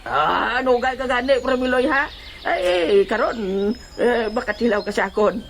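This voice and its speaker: Man acting a high-pitched boastful silly adventurer. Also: normal speed, a bit bright, a little hiss or hum. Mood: boastful, teasing. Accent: Ilonggo Filipino. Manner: bragging, giggly, chatty. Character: boastful, silly, excitable male adventurer sidekick. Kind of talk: comedic audio drama acting.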